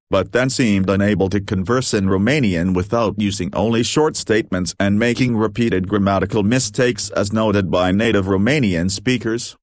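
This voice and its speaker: Low-voiced man reading a news report. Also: no echo, studio quality. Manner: steady, formal read-through. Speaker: narrator. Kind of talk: scripted news narration.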